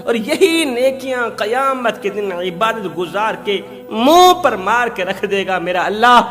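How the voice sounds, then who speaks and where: A man delivers an emotional Islamic sermon in a high-pitched voice. His phrasing is slow, emphatic and repetitive, with a dramatic storyteller's weight. Long hall reverb surrounds the voice, with music playing underneath. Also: lively intonation, a bit bright. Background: background music.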